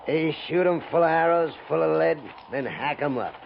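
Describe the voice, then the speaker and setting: An actor playing a callous, mocking cavalry private in a 1950s radio western, with a gravelly voice and folksy frontier talk. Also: male voice, on the high side, normal speed. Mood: sardonic, griping. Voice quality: creaky, gravelly. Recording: muffled, noisy 1950s radio transcription.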